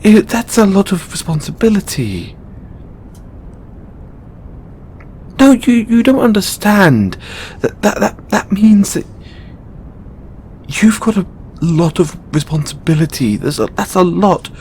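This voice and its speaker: A man's voice: very animated, a little slow, a little mellow, heavily acted. A man playing a flustered, tender god boyfriend in an intimate audio roleplay, stammering and repeating his words. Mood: flustered, protesting. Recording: noticeable low rumbling background noise.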